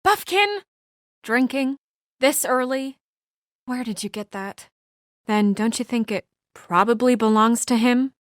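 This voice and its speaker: An actress playing a brisk, exasperated, dutiful young professional woman in a video game, speaking in a crisp, earnest, businesslike way. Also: fairly high pitch, no echo, studio quality, natural voice. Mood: scolding, annoyed.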